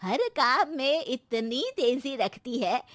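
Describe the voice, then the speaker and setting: A woman's voice: sits high, animated, takes it slowly, fairly bright tone, no echo, clean studio sound, heavily acted. A woman voicing an older mother-in-law in a cartoon story, welcoming and fussy, with a coaxing manner. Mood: proud, welcoming. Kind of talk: cartoon moral story character voice.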